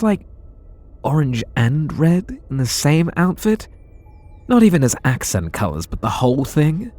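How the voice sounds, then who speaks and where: A man's voice: mid-range voice, a little mellow, dry recording. A man voicing a bitter, sarcastic supervillain in an ASMR roleplay audio drama, talking in a sardonic, mocking way. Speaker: voice actor.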